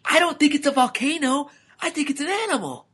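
A man's voice: very high-pitched, lively intonation, fairly quick, fairly bright tone, pitched above the performer's own voice. A man voicing an alarmed, observant young boy lizard in a storybook.

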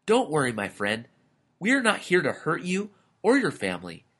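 A man's voice: on the high side, wildly swooping pitch, moderate pace, fairly bright tone, slightly rough, voice pushed up, exaggerated voice. A man voicing a cheerful, polite male camel with a friendly, courteous manner in a storybook. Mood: kindly, reassuring.

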